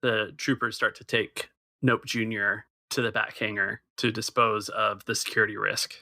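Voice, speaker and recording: A man running a tabletop game, narrating the scene in a loose, relaxed way. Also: lowish voice, moderate pace, gravelly, no room sound. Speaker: game master.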